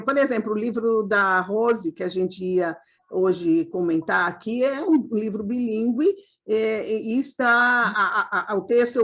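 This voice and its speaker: Affirming woman hosting a panel. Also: medium pitch. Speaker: host. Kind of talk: casual online panel talk.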